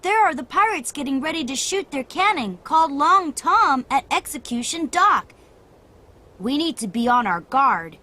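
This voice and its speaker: A woman voicing a bold, confident boy adventurer who leads other children in an animated children's story, speaking in a commanding, dramatic way. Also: high voice, moderate pace, heavily acted. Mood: alert, warning.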